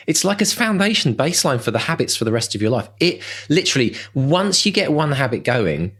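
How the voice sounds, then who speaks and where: A man's voice: medium pitch, fairly bright tone. A man chatting casually as a podcast co-host and coach, effusive, enthusiastic and encouraging. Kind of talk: casual chat.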